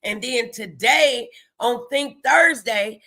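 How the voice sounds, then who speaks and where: A woman's voice: a little high, takes it slowly, pushed and bright. An American woman hosting a motivational morning live show, speaking in an emphatic, encouraging, faith-filled way and repeating phrases for effect.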